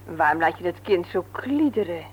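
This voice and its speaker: An actress playing a brisk, chiding wife and mother in a Dutch radio play. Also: fairly low pitch, lively intonation, close to an everyday voice. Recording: faint hum, old radio play.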